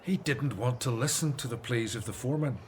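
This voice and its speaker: Actor as earnest Hebrew leader, mid-pitched. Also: male voice, fairly quick, a little mellow, a little hiss or hum, lightly acted. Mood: grave, troubled. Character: Moses, earnest resolute Hebrew leader of his people. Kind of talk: religious radio drama acting.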